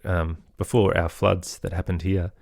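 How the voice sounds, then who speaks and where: A man's voice: deep voice, flat delivery, normal speed, vocal fry, a little mellow, no room sound, studio quality. A middle-aged man giving thoughtful, earnest answers as an interview guest in a conversational way. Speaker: interview guest, podcaster and musician.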